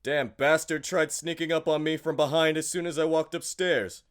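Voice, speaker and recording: A man playing a blunt, tough fighter who knows his guns, with a gruff, clipped delivery. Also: a little high, fairly even intonation, moderate pace, dry recording, clean studio sound, natural voice. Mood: fierce.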